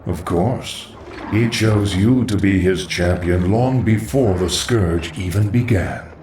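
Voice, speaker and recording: An actor voicing a calm, eerie undead lich sorcerer in a video game, ominous and assured, with an echoing recording. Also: male voice, low voice, slow pace. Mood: calm, knowing. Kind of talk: video game cutscene acting. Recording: echoing distant voice, noisy game ambience.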